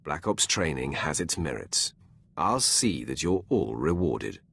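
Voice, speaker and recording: An actor voicing an imperious, menacing dark lord in a video game, with a haughty, commanding delivery. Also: male voice, rumbling low, sing-song, unhurried, vocal fry, husky, dry recording, exaggerated voice. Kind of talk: scripted game dialogue.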